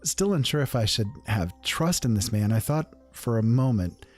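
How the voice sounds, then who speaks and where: A man telling a first-person fantasy story in a calm, unhurried, conversational way. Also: mid-range voice, soft background music.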